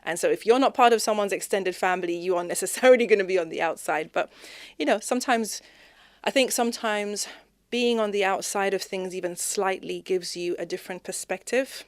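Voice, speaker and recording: A woman from Zimbabwe, a podcast guest talking expressively in a conversational interview, speeding up and rising in pitch when animated. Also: brisk, no room sound, clean studio sound.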